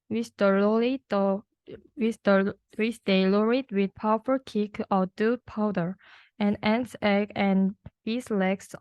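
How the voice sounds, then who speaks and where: A young woman reads a rhyming storybook aloud at a slow pace. Her delivery is careful and halting, with small stumbles. The recording is dry and slightly dull. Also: mid-range voice, darkish tone, no echo. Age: young adult. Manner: careful, halting reading with stumbles over words. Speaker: student reader.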